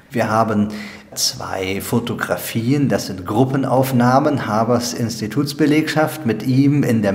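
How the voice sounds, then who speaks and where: A man explaining things as an archivist in an interview, in a deliberate manner. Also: on the low side, vocal fry, a little mellow. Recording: echoey location recording, faint background noise.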